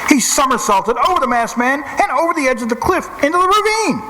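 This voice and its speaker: A man's voice: very high voice, sing-song, brisk, background noise. A man giving dramatic scripted narration in the style of an old-time radio adventure. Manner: dramatic storytelling. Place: live stage in a performance hall. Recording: echoey stage, loud music behind. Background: loud music.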